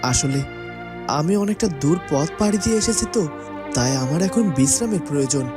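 A man playing a polite but wary young prince in a fairy-tale cartoon, earnest and expressive. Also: a little high, moderate pace, music in the background, natural voice. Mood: apologetic.

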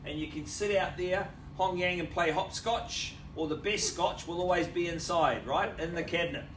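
A man's voice: high voice, moderate pace, fairly bright tone, slight background noise. A middle-aged man with a New Zealand accent working as an auctioneer, calling bids at a live property auction with joking, showy patter and rhythmic bid chants. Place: large indoor auction room. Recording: roomy sound from a distant camera.

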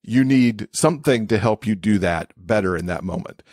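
A man's voice: fairly low pitch, expressive, moderate pace, a little mellow, no room sound, studio quality. A man speaking as an expert podcast guest, explaining things in a conversational interview style.

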